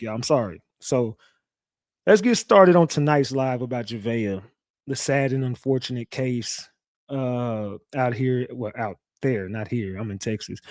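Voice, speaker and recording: A young man with a slightly raspy, recovering voice, hosting a casual live stream and talking loosely to his chat with slang and lots of repeats. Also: mid-range voice, darkish tone, no room sound, studio quality. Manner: loose, repetitive, talks straight to chat with slang. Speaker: live-stream true crime host. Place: home streaming setup.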